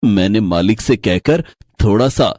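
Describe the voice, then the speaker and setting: A man's voice: fairly low pitch, expressive, unhurried, a little mellow, no echo. A man acting a tender, poor father. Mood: tender, caring. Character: poor, loving father, a troubled hotel waiter. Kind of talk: Hindi moral story voice acting.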